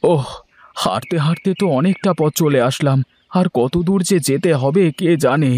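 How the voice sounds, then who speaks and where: A man's voice: fairly high pitch, normal speed, a little mellow, close to an everyday voice. A man voicing a poor, stubborn, henpecked husband in a cartoon fairy tale, with a plaintive, self-pitying manner. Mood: excited, hopeful. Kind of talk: animated fairy-tale voice acting. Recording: music behind the voice.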